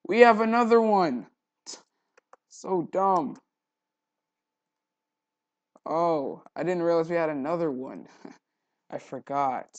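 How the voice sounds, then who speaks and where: A man hosting a casual software tutorial, thinking aloud informally with mild exclamations. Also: medium pitch, creaky, dry recording, highs cut off. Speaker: tutorial host.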